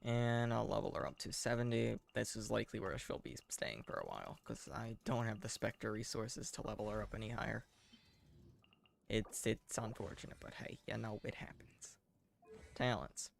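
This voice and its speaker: A man giving casual live game commentary in a deadpan, self-deprecating way, muttering asides to himself. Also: lowish voice, moderate pace, gravelly, a little hiss or hum. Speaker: game streamer.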